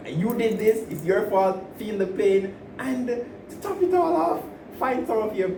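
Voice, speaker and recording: A man co-hosting a podcast, giving rambling, laughing advice in casual chat, with many restarts. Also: high-pitched. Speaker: podcast co-host. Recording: roomy, distant mic with noise.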